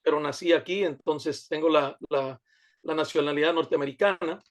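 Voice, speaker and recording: A man's voice: on the high side, fairly even intonation, normal speed. A man pastor from the United States, a guest in an interview, speaking earnestly with many fillers and restarts. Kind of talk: conversational interview.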